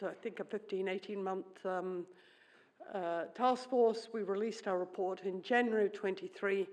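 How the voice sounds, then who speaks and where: A middle-aged woman who grew up in New Zealand and whose first language is Swedish, giving a conference keynote in a wry, conversational manner and thinking aloud with many fillers. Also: mid-range voice. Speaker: keynote speaker.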